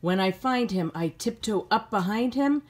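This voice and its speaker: A woman's voice: mid-range voice, lively intonation, normal speed, a little mellow, a little hiss or hum. A middle-aged woman reading a children's picture book aloud expressively, with simple phrasing for young listeners.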